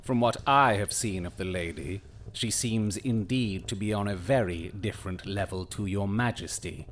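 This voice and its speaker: A man playing a cool, shrewd detective in an audio drama, speaking with formal, measured courtesy and dry irony. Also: fairly low pitch, natural voice. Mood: dryly courteous. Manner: measured, formal, dryly ironic.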